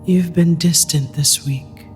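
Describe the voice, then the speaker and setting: A woman playing a cold, controlling, wealthy female executive in an audio drama, speaking in a deliberate, commanding, clipped way. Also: low-pitched, steady tone, darkish tone, faint music behind. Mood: coldly commanding. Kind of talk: dramatic audio fiction acting.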